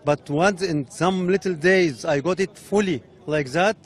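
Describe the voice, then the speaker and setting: Enthusiastic man in halting English. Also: high voice. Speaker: visiting tourist.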